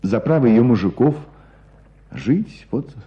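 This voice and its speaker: A man acting in a radio play as a knowing hunter who tells a story with deliberate emphasis. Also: medium pitch, expressive, mellow, husky. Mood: animated storytelling. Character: knowing male hunter recounting an old court case. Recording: dull, muffled radio play sound.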